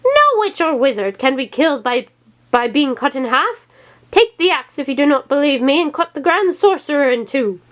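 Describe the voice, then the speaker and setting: A woman's voice: sits high, big pitch swings, moderate pace, pitched above the performer's own voice. A woman voicing a scornful, menacing old witch who speaks from a tree stump in a storybook reading.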